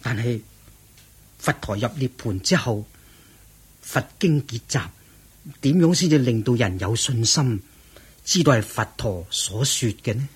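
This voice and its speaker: A man's voice: medium pitch, lively intonation, unhurried, pauses often, husky. An actor playing a reverent disciple monk in a radio drama, earnest, respectful and protective of his master. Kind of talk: Cantonese radio drama acting.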